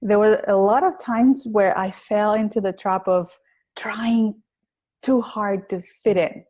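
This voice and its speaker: A woman's voice: mid-range voice, fairly even intonation, unhurried, darkish tone. A woman with a heavy Greek accent, a public speaking coach being interviewed on a podcast, talking in an earnest, reflective way with some hesitations. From Greece.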